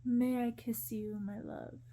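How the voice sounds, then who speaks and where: A woman reading as a moody storybook prince, softly mellow. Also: fairly high pitch, level tone, takes it slowly. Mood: tender. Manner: curt, commanding. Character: moody, impatient cursed prince, curt and sarcastic.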